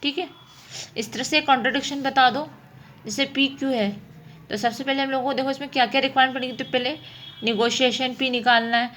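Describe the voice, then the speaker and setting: A woman's voice: sits high, a bit bright. A woman teaching a mathematics lesson, explaining step by step and often answering her own questions. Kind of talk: informal spoken lesson, working through problems.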